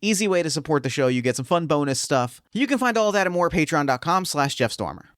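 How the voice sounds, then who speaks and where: An enthusiastic man hosting a casual tabletop podcast, talking fast and loose with plenty of fillers. His voice is smooth and clear. The recording is dry and close. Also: on the high side, big pitch swings, a bit bright, dry recording. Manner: enthusiastic, chatty, many fillers. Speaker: podcast host and game master.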